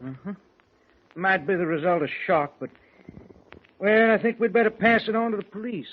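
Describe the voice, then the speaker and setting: A man's voice: high voice, normal speed, lightly acted. A man playing a grave, thoughtful hospital doctor in a 1940s radio drama. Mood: concerned. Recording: tinny, noisy 1940s radio recording.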